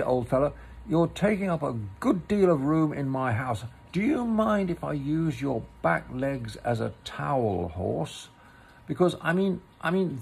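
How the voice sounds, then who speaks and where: A man's voice: on the high side, vocal fry, a little mellow, slightly rough, voice pushed up, exaggerated voice. A man voicing a cheerful, practical rabbit in a storybook, polite, fussy and rambling.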